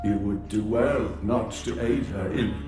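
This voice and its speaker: A man's voice: on the low side, steady tone, a little slow, reverberant, music in the background, lightly acted. A man playing a proud, defiant enslaved scholar in a video game, formal and disapproving. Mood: haughty, defiant. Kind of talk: dramatic video game acting.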